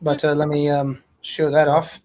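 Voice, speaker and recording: A woman's voice: sits low, monotone, normal speed, a little mellow, slight background noise. A woman hosting a casual podcast, chatting informally and a little hesitantly, and reading fan fiction aloud.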